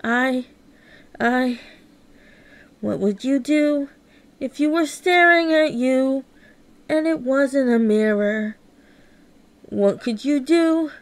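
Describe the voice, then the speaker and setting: Woman as a hushed, anguished fused being. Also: mid-range voice, drawn-out words, pauses often, no room sound, pitched below the performer's own voice. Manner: hesitant, hushed delivery.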